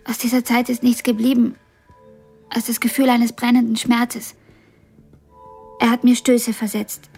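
An actress in a radio drama playing a blind young pianist, wounded yet ambitious and frank, with a halting, intense delivery. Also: female voice, a little high, flat delivery, vocal fry, darkish tone, husky, soft background music, full character voice. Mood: uncertain, strained.

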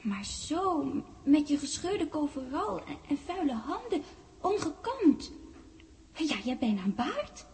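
A little girl of about nine, speaks in a high, soft-toned voice. She fusses and chides in a childlike, possessive way, in the manner of 1960s radio drama. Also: very animated, takes it slowly, a little hiss or hum, heavily acted. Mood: chiding. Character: young girl of about nine, chiding and fussing.

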